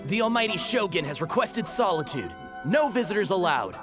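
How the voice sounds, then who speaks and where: Formal, animated palace guard. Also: male voice, high voice, moderate pace. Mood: stern, dismissive. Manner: formal, officious. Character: stern, dutiful, formal male palace guard. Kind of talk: video game voice acting. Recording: music playing behind.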